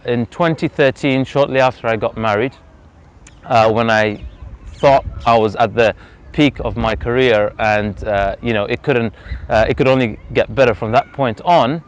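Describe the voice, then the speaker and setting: A man, telling his personal story as an interview guest outdoors in earnest, reflective, measured speech. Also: medium pitch, clean tone. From Zambia. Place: outdoors on a freezing day. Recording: slightly dull lo-fi outdoor recording.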